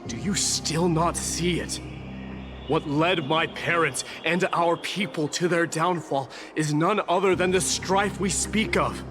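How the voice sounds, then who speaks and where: A resolute young warrior prince acted by a man with a somewhat high voice speaks solemnly and formally. He is proud and brooding, with clear music under the fantasy game dialogue. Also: a little slow, lightly acted. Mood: impassioned. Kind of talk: dramatic video game acting.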